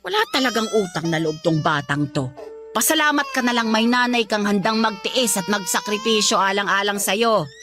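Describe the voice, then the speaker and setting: An actress plays an old Filipino grandmother in a radio drama. She is blunt but caring, with lively, swooping pitch and a scolding, exclamatory delivery. Faint music runs underneath. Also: moderate pace, lightly acted. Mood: scolding, reproachful. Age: older. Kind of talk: Tagalog radio drama acting.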